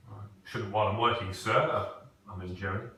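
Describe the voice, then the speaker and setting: Man acting nervous deferential employee, bright low voice. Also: fairly even intonation, a little fast. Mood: nervous, polite. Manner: hesitant, polite, self-correcting. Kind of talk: amateur stage-play acting, naturalistic dialogue. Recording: very echoey, distant, faintly noisy recording.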